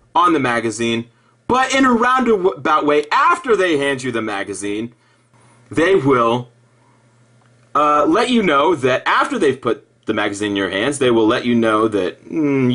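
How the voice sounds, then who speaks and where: A man delivering an improvised sarcastic rant in an exasperated, mocking way, halting and repeating himself, with profanity. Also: on the high side, pushed and bright. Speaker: video commentator.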